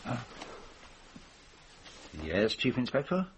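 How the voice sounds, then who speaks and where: An actor playing a courteous, methodical detective in a radio drama, with a French-speaking Belgian accent and formal, probing speech. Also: male voice, medium pitch, very animated, takes it slowly, noisy background. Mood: inquisitive.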